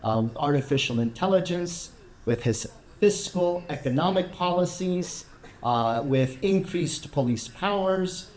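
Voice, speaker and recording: An American man gives a measured, explanatory talk to a gathering, pausing now and then with small fillers. His voice sits a little higher than most men's and rings through an echoey room. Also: animated, a little mellow. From United States. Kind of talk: public talk with discussion.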